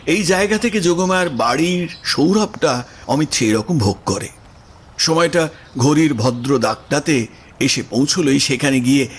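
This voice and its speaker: A man reading literary prose as the narrator of an audio story. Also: mid-range voice. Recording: music under the voice. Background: background music.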